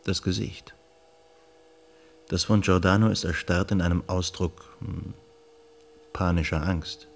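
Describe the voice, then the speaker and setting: An actor playing a thoughtful male investigator in a radio drama, speaking in a measured, understated, reflective way. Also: low voice, fairly even intonation, creaky, a little hiss or hum. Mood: calm, pensive. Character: unhurried, thoughtful male investigator, storytelling sleuth.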